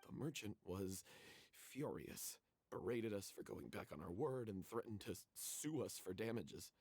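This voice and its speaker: A remorseful, anxious stage troupe member in a video game. His voice is lowish and rough-edged, recorded very dry. He recounts things dramatically, leaving heavy pauses. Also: lively intonation, a little mellow, no echo, exaggerated voice. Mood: anxious recounting. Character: guilt-ridden male troupe member confessing, anxious and regretful.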